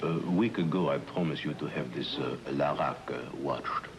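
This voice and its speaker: An actor playing a grave, deferential informant with a gravelly voice and formal, halting speech in an old-time mystery drama. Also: male voice, extremely low pitch, crowd noise. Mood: grave, regretful.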